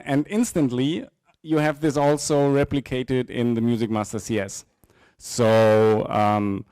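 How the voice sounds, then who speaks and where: A middle-aged man giving an informal live technical presentation, often halting and repeating words. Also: mid-range voice, a little mellow, dry recording. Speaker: software presenter. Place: indoor presentation room with small audience. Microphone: handheld microphone.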